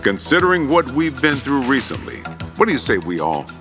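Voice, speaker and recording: An actor playing a genial, authoritative boss in a video game, speaking formally with dry humour. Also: male voice, medium pitch, big pitch swings, a little fast, music in the background. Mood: proud, genial. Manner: formal, dryly humorous. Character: wry, genial, authoritative male company boss.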